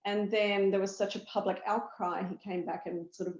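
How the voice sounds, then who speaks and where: A woman with an Australian accent explains things as an expert guest in a discussion, in a measured, conversational way with rhetorical questions. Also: mid-range voice, little pitch movement. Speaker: pharmaceutical chief medical officer, expert guest. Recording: echoey room, muffled audio.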